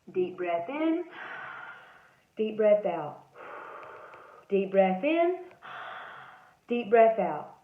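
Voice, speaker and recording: A woman voicing a once-terrified, plucky male bookmark in a theatrical, dramatic picture-book read-aloud. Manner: theatrical, rising from hushed suspense to high cries. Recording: roomy echo, slightly dull lo-fi sound.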